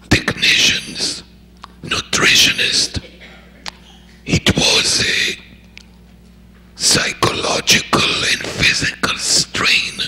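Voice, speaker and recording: An older man lectures to physicians in a breathy, whisper-like voice produced through a voice prosthesis. He speaks very slowly, with a sharp, bright edge to the sound. His delivery is halting, with many pauses and fillers. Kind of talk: medical grand rounds lecture. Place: lecture hall.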